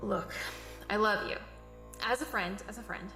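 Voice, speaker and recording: An actress playing a skeptical young friend in an audio drama, questioning and sardonic. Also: female voice, mid-range voice, normal speed, pushed and bright. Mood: earnest, insistent. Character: skeptical, wisecracking young friend tagging along on a mission. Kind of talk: naturalistic audio drama acting. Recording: roomy echo with music behind.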